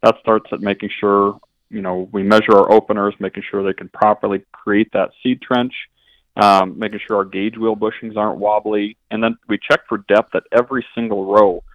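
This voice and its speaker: A man's voice: on the low side, flat delivery, normal speed, no echo. A man from an equipment dealership, explaining things as a radio guest and often restarting his sentences. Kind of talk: interview answers. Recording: dull, lo-fi line.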